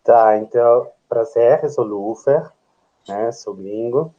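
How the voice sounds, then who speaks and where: A man from Peru, speaking Portuguese slowly and searching for words on a video call. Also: medium pitch, warm tone, muffled recording. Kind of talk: casual introduction on a call.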